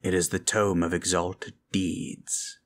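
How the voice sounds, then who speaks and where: A man voicing a grave dark sorcerer turned to good, solemn, measured and commanding, in a fantasy ASMR roleplay. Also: sits low, flat delivery. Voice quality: husky.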